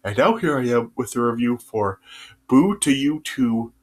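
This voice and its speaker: A man's voice: medium pitch, animated, unhurried, a little mellow. A young man with a stuffy nose from a cold, rambling through a casual video review full of fillers and restarts, recorded on a webcam in a small bedroom. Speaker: YouTube reviewer. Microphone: webcam at desk distance.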